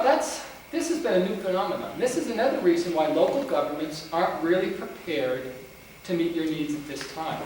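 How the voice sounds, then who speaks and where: A man with a mixed New England and North Country accent gives a rambling lecture on genealogy to a meeting, recorded from far off in a meeting room with a faint hiss. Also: fairly high pitch. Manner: rambling, talking to the audience with many restarts. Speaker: genealogy speaker. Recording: roomy, distant, faint hiss.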